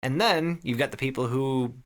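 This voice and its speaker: A man's voice: medium pitch, normal speed. A man hosting a podcast, summarizing a story casually with swearing, emphatic phrasing and wry asides. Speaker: podcast host summarizing an internet horror story.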